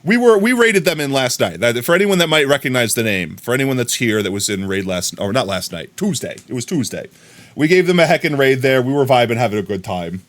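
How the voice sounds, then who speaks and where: An American man talks casually to his viewers, rambling along and yelling excited thanks now and then. His pitch sits in the middle but moves a lot, so the voice sounds lively and animated. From United States. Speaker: livestreamer. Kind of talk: casual live-stream chat.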